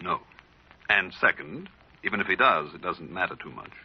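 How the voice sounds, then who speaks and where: Smug, low-voiced American racket boss. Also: male voice, lively intonation, moderate pace, breathy edge, heavily acted. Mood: coolly menacing. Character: smug, crooked male boss of a charity racket. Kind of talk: 1940s radio acting. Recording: muffled vintage 1940s radio recording.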